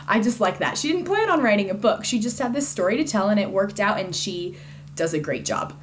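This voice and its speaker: A woman's voice: mid-range voice, expressive, fairly quick, slight background noise. A woman giving a casual, conversational book review in an informal and enthusiastic way.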